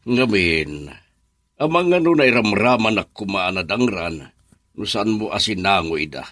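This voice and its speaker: Authoritative boss, low voice, swooping intonation, grave, Ilocano Filipino accent, radio drama. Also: male voice, animated, normal speed, fairly bright tone, no room sound, studio quality. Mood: concerned, insistent. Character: authoritative, concerned male boss addressed as sir.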